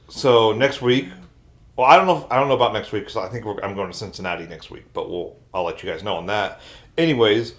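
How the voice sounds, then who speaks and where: A man's voice: fairly low pitch, a bit bright. An American man hosting a casual panel chat. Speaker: podcast host.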